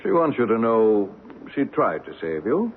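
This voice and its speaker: An actor playing a pragmatic, worldly middle-aged man of power in an old radio drama, speaking in a measured way. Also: lowish voice, wildly swooping pitch, exaggerated voice. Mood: resigned. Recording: muffled, faintly noisy old radio recording.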